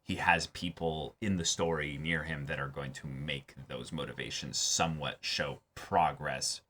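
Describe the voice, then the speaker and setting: A man's voice: rumbling low, fairly even intonation, measured pace, sharp tone, slight background noise. A man in a casual discussion as co-host of a reaction show, speaking emphatically and repeating phrases as he builds his point.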